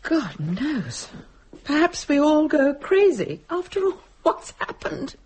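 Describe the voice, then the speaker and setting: An actress playing a sharp-tongued married woman, frightened and defensively rationalising, with a husky voice in a dramatic audio-play delivery. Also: high voice, sing-song, slow, a little hiss or hum, full character voice. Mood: brittle, sardonic. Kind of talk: stage-style audio drama acting.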